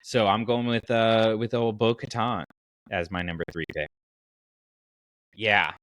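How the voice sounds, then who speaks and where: A man's voice: fairly low pitch, a little slow, a bit bright, no room sound. A man hosting a casual fan podcast, talking through his choices in a conversational way with frequent asides. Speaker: podcast host.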